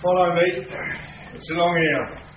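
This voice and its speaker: A man playing a bullying, highly strung crooked policeman, curt and threatening, in a radio drama. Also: a little slow. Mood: commanding. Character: edgy, bullying male crooked policeman holding hostages at gunpoint. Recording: muffled, echoey, noisy radio drama.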